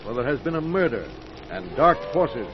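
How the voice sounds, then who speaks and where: A man's voice: medium pitch, big pitch swings, normal speed. A man announcing a radio mystery with portentous, theatrical scripted narration. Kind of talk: dramatic scripted old-time radio narration. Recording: muffled, lo-fi old radio recording with hiss.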